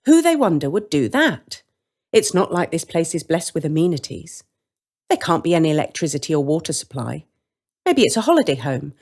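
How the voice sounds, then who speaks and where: A woman storyteller with a low voice and lively intonation. She reads a scripted tale wryly, as if addressing the listener directly.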